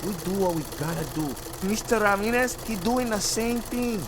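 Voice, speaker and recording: A woman's voice: mid-range voice, moderate pace, close to an everyday voice. An actress playing a worldly-wise working mother in a garment shop, streetwise and matter-of-fact, with a Spanish-accented New York English, in a comedic radio drama. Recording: noisy rattling sewing-machine workshop ambience.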